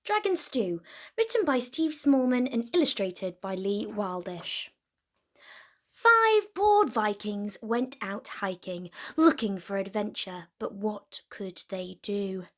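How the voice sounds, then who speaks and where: Animated, slow-paced woman storyteller. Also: medium pitch. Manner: dramatic, deliberate emphasis on key words. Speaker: children's storyteller and host. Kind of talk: expressive children's storybook read-aloud.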